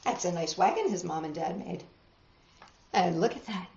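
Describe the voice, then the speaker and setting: A woman reading picture books aloud to children, expressive and exclaiming, lingering on words and adding chatty asides. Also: on the low side, normal speed. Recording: dull, echoey room recording.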